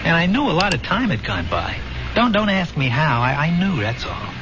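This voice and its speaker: A man's voice: fairly high pitch, very animated, normal speed, noisy background. An American man playing the restless ghost of a killed soldier, intense and haunted, in a 1970s radio drama. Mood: haunted, remembering. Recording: dull old radio broadcast, music behind.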